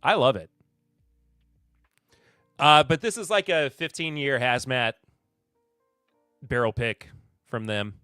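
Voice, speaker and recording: A man's voice: mid-range voice, takes it slowly, long pauses, bright, forceful tone, clean tone, dry recording, clean studio sound, faint music behind. A man from Kentucky hosting a live podcast in casual chat, laid-back and chuckling as he reads and answers viewer comments. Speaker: podcast host.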